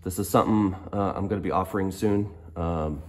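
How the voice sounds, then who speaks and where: A hoarse, very deep-voiced middle-aged man walks through his work in a garage workshop. He talks slowly and casually, pausing often with ums as he explains. The sound comes from a handheld phone camera. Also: a little mellow, a little hiss or hum. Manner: unhurried, hesitant, many ums. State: hoarse from a cold. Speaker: off-road fabricator. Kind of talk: casual technical walkthrough.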